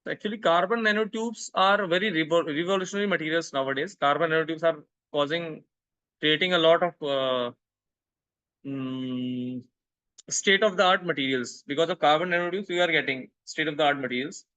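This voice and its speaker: A man from India lectures over a video call, repeating words while he reaches for the next one. His voice is bright and a little high, and the sound is dry and close. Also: moderate pace, no echo, muffled recording. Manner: explanatory, repeats words while searching for them. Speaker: guest lecturer. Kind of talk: online lecture.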